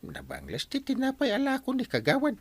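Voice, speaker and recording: Natatarantang asawang lalaki, pabago-bago ang tono. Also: male voice, extremely high pitch, brisk, vocal fry, husky, no echo, exaggerated voice. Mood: flustered. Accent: Ilocano. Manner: stammering, excitable, exaggerated. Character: sneaky, flustered husband afraid of his wife. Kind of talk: Ilocano radio comedy drama acting.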